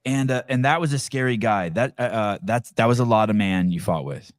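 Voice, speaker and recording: A man from California hosting a relaxed podcast interview in a somewhat low voice. He rambles and jokes, often tripping over his words and starting again. The recording is dry, with no room echo. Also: a little fast. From Santa Cruz, California. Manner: rambling, joking, stumbles and restarts a lot. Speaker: podcast host. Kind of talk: casual interview.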